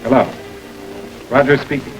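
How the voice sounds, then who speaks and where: A man's voice: mid-range voice, fairly even intonation, over music, close to an everyday voice, low-quality recording. A man playing a stern radio-drama police investigator. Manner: firm, measured interrogating. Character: stern middle-aged male police investigator. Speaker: police lieutenant. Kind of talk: 1940s radio acting. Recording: hissy old radio recording.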